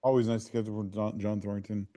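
A man co-hosting a football podcast chat, with a low, mellow voice. He speaks in a low-key, hesitant way, stumbling a little. The sound is close and dry. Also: level tone, fast talker, no room sound. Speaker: podcast co-host.

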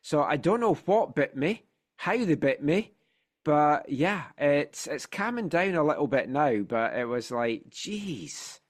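Lively Scottish man hosting a podcast. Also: a little high, measured pace. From Scotland. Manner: chatty, animated storytelling with playful asides. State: congested from a sinus infection. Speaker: podcast host. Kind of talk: casual football podcast chat.

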